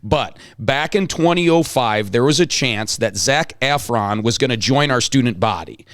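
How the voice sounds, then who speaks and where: A man playing a flustered, long-winded, greedy school principal in an amateur comic table read, halting and exclamatory. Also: mid-range voice, expressive, a bit bright, no echo, voice pushed down, close to an everyday voice. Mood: eager, scheming.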